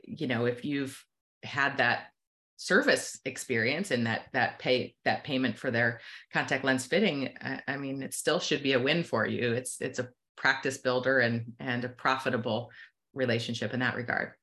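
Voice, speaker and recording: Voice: mid-range voice, normal speed, bright, forceful tone. An optometrist who owns a practice, a guest on an interview podcast, talking in a loose, rambling way with many restarts.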